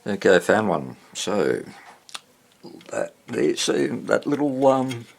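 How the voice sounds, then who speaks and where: A man's voice: mid-range voice, sing-song, slow, vocal fry. A man giving casual, unscripted commentary at a workbench, rambling and thinking aloud as he works on a repair. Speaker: hobbyist radio restorer presenting own repair. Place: indoor workbench.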